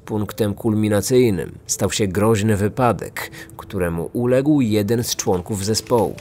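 A man narrating a scripted true-crime podcast in a serious, measured storytelling manner. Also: lowish voice, darkish tone, soft background music.

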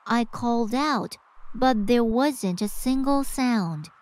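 A woman's voice: on the high side, slow. A woman voicing a frightened schoolgirl. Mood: uneasy. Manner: hushed, breathy fear rising to screams. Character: frightened schoolgirl narrating her own ordeal. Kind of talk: animated horror story narration.

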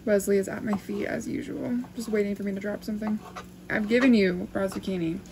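A woman's voice: mid-range voice, steady tone, fairly quick, creaky, background noise. A young woman vlogging casually in a small wooden cabin, chatty and self-reflective, often laughing as she talks. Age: young adult. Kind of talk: casual vlog chat.

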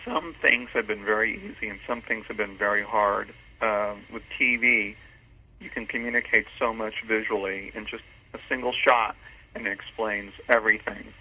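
Male interview guest, phone-line sound, raspy, medium pitch, conversational, emphatic. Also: fairly even intonation, moderate pace. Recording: muffled, tinny phone line.

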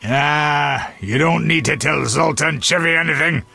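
A gruff, loyal dwarf fighter in a fantasy video game. The voice is raspy and fairly high, with a sharp edge. The talk is hearty, wry and blunt. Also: male voice, a little slow, full character voice. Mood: frank, friendly. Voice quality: gravelly, raspy. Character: gruff, hearty male dwarf warrior, loyal old pal.

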